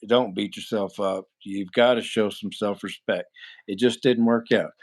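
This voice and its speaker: A man's voice: lowish voice, fairly even intonation, moderate pace. A man in his forties with a nasal voice, hosting a livestream and answering chat questions in a blunt, rambling way that is full of swearing. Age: 40s. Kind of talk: casual live chat.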